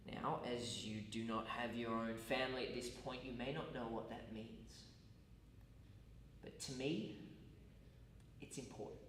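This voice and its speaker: A man acting out an earnest, self-justifying male college teacher in a stage monologue. He speaks in formal, halting, deliberate phrases at a middle pitch. The sound is distant and very echoey. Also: fairly even intonation, a bit bright, close to an everyday voice. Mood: firm, aggrieved. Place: large echoey room at a college. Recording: echoey hall, distant mic, faint noise.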